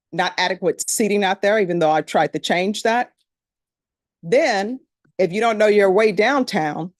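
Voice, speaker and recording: A middle-aged woman judge with a Louisiana accent talks to a jury panel in a courtroom. She speaks in a plain-spoken, conversational way. Her voice sits at an ordinary pitch and sounds close and dry. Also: no room sound.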